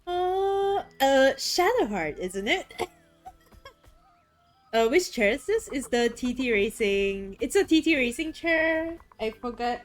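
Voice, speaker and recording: A woman streaming a video game and chatting casually, excitable and swearing freely, her throat a little rough today. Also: sits high, slow, soft background music. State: throat a bit rough, voice deeper than usual. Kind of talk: casual chat while gaming.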